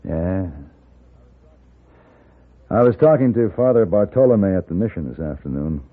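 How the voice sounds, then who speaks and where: Radio Western hired gun: American, polished and unruffled, fairly deep, narrow old broadcast sound. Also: male voice, lively intonation. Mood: courteous, composed. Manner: polished, deliberate, composed. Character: composed, courteous gunfighter for hire, narrating his own tale. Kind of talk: 1950s Western radio acting and narration. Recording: narrow-band 1950s radio recording with music.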